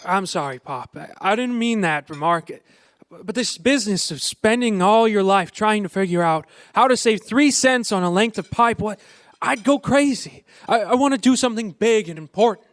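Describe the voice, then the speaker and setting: A man's voice: high voice, expressive, normal speed, no echo. An actor in an old-fashioned live radio play playing a restless small-town young man, eager, boyish and stammering. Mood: restless, frustrated.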